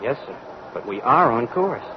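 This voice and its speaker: A man's voice: medium pitch, very animated, moderate pace, close to an everyday voice. A man playing an eager, idealistic young crewman in an old radio drama, polite, earnest and deferential. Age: young adult. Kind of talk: 1940s radio drama acting. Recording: muffled, noisy old radio recording.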